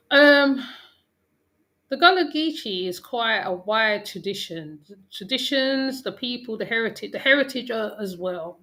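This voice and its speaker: A woman's voice: medium pitch, bright, forceful tone. A woman hosting a reaction video, talking in a chatty commentary style with frequent restarts. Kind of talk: casual commentary.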